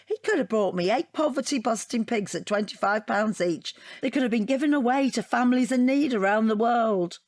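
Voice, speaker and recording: An actress playing a wounded wife in an audio drama, rambling in a wry, deadpan and digressive way. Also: female voice, fairly high pitch, fairly quick, few pauses, lightly acted. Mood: defensive, hurt. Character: wounded, rambling wife dissecting her unwanted portrait gift. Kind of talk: audio drama monologue and dialogue.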